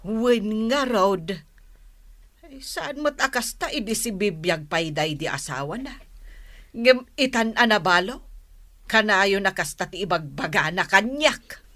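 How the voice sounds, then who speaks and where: A woman's voice: mid-range voice, animated, a little slow, sharp tone. A woman playing a huffy older village mother who sells vegetables in an Ilocano radio comedy drama, grumbling and scolding with an Ilocano accent. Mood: annoyed.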